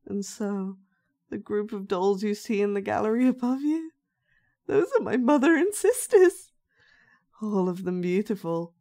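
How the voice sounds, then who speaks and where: A woman's voice: mid-range voice, expressive, measured pace, warm tone. A woman playing an unhinged, sweetly sinister young dollmaker in a horror audio roleplay, with childlike, sing-song menace. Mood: eerie, brooding.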